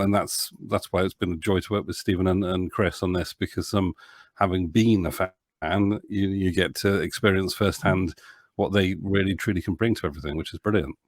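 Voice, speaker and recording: A man chatting casually as an interview guest, talking in a halting, rambling way and often interrupting himself. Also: low-pitched, no echo, clean studio sound. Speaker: audio drama producer, interview guest.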